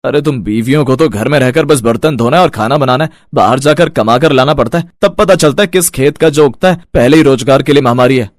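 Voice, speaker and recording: An actor playing a gruff, irritable village man with a husky voice, grumbling forcefully in a cartoon story. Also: medium pitch, fairly quick, hardly any pauses, dry recording, studio quality, lightly acted. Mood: annoyed. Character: gruff, irritable labourer husband in village family. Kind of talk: cartoon moral story dialogue.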